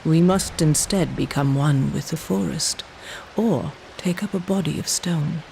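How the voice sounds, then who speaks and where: An actor playing an ancient, sorrowful shaman of a stone people in a video game, solemn and measured. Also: on the high side, warm tone, a little hiss or hum, poor audio. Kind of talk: video game cutscene acting. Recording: clear music behind the voice. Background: game score.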